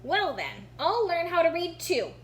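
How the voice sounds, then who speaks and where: Lady doing a big proud storybook wolf, earnest and emphatic, really animated, bit of hum behind. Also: extremely high pitch, measured pace, voice pushed up, full character voice. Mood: resolved. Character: big, proud, determined wolf eager to impress. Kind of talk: children's storybook read-aloud. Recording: noticeable background hum.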